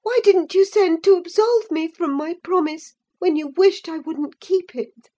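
Shrill proud young lady, scolding, lots of fire, woman doing her. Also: takes it slowly, darkish tone, muffled recording. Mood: indignant. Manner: indignant, scolding, high-pitched. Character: proud, impatient young gentlewoman, quick-tempered.

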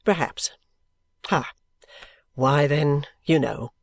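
A woman voicing a careless, easygoing and affectionate young gentleman in an audiobook, with a breezy, musing manner. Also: low-pitched, normal speed, no room sound, voice pushed down. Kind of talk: audiobook character dialogue.

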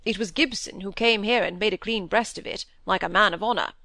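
A woman voicing a gruff, hot-tempered old country squire in an audiobook, blustering and emphatic, with rustic old-fashioned phrasing. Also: medium pitch, brisk, sharp tone, no room sound, clean studio sound. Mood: blunt, indignant. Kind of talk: audiobook character dialogue.

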